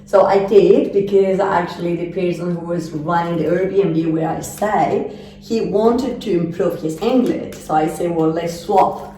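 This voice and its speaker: A Chilean woman tells her travel stories in Spanish-accented English, lively and chatty. Her voice is mellow and fairly low-pitched. She sits back from the mic in a roomy, echoing space with a faint hiss behind her. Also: measured pace, distant mic. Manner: chatty, animated storytelling in non-native English. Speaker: interviewee. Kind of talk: casual interview. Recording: roomy echo, voice off-mic, faint background noise.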